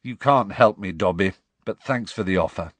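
A man voicing a tired, thoughtful teenage boy wizard in a storybook reading, speaking quietly. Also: fairly low pitch, normal speed, breathy edge, dry recording.

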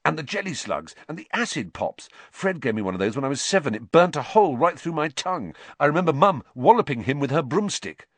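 A man voicing a cheeky, excitable teenage boy wizard with a raised, boyish voice. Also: mid-range voice, normal speed, hardly any pauses, no echo, pitched above the performer's own voice. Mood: excited.

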